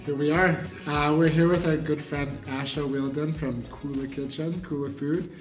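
A man's voice: a little high, fairly even intonation, moderate pace, faint music behind. Roomy, distant recording of a male host. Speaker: podcast host. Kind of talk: casual chat. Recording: roomy, distant mic, background noise.

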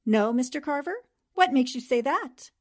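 A woman's voice: high voice, lively intonation, normal speed. A woman playing a composed, polite female newspaper columnist in a radio drama. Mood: calm, reasonable.

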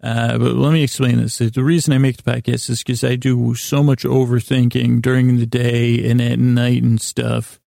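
Guy doing a sleepy-time podcast, super gravelly fry, dry close sound, meanders and trips over words, soothing and a bit silly. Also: mid-range voice, level tone, mellow, no echo. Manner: meandering, halting, stumbling; soothing, lulling, mildly silly. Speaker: podcast host. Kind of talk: rambling bedtime monologue.

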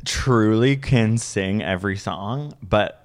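A man's voice: on the low side, slow pace. A man co-hosting a casual chat, joking around and laughing often. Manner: playful, laughs often, jokey asides. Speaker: podcast co-host.

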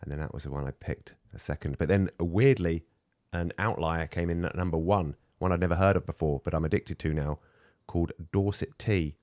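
A man's voice: sits low, fairly quick, few pauses, darkish tone, no echo, studio quality. A man in casual chat as a co-host, ranting and self-mocking. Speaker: podcast co-host.

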